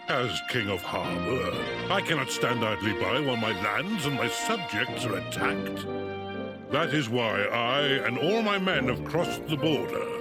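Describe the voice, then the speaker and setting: A man's voice: medium pitch, close to an everyday voice. A man playing a noble, resolute warrior king in a video game, speaking in a grand, formal manner. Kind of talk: dramatic video game acting.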